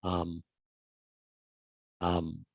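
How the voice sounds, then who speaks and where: A man's voice: sits low, monotone, very slow, gravelly, no echo. A man answering questions in a casual webinar talk, hesitant with many ums and restarts, heard over a video call. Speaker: guest speaker on insurance. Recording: muffled lo-fi video call audio.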